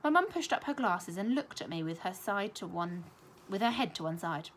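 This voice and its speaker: A young adult woman, a primary school teacher reading a children's novel aloud with expressive storytelling. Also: medium pitch, moderate pace, slight background noise. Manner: expressive storytelling with lively intonation.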